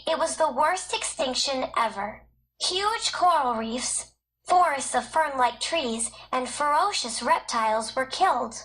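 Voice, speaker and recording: A woman with a high voice narrates educational text. Her delivery is scripted and measured, with every word carefully enunciated. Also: expressive, a bit bright, low-quality recording. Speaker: textbook narrator.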